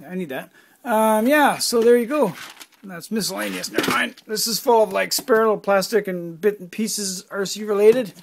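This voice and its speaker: A man in his forties with a fairly high voice talks casually and loosely, full of fillers and the occasional curse. He sounds like he is wandering through a small home workshop, recorded on a handheld phone. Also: gravelly, slight background noise. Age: 40s. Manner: rambling and offhand, many fillers, mild swearing. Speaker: hobbyist vlogger. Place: cluttered home workshop, indoors. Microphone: handheld phone camera.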